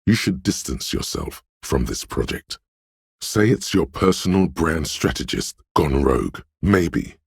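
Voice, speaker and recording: A man voicing a suave, money-minded inner voice of vanity in a video game, very deep, gravelly and husky, slyly persuasive. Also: no echo, studio quality, full character voice. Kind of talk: video game voice acting, second-person inner monologue.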